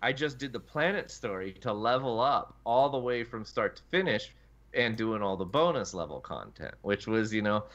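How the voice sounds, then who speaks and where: Male gaming podcaster, lowish voice with a sharp edge, emphatic and long-winded, casual delivery over lo-fi chat audio. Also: animated, moderate pace. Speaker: podcast panelist. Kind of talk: casual panel discussion about a video game.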